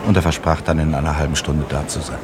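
A man narrating a literary German radio play as a calm, detached storyteller. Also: a bass voice, fast, very noisy.

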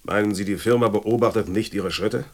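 A man's voice: lowish voice, fairly quick. An actor playing a blunt, threatening henchman of a secret firm in a German radio drama, curt and mocking.

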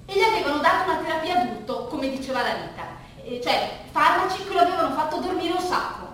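A woman performing a dramatic theatrical monologue as narrator, with emphatic repeated phrases and deliberate pauses. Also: very high-pitched, expressive, fairly bright tone. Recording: echoey room, distant mic, faint noise.

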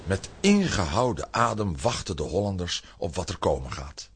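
A deep-voiced man tells a children's adventure as narrator. His voice is strongly husky and swings dramatically in pitch, giving a theatrical, suspenseful read. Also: sing-song, normal speed. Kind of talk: dramatic scripted storytelling for children's audio drama.

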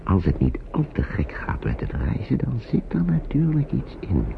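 An actor playing a worried, kindly uncle who hesitates and repeats himself in an old radio play. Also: male voice, medium pitch, huge pitch swings, normal speed, gravelly, heavily acted. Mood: worried, brooding. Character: worried kindly man, an uncle minding children. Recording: dull noisy old radio recording.

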